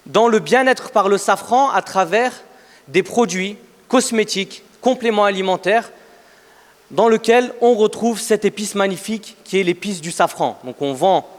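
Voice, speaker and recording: A man in his thirties giving a motivational startup pitch on stage, emphatic, repeating phrases for effect as he tells a story. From Afghanistan. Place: large event hall, on stage. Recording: echoey hall with long reverb.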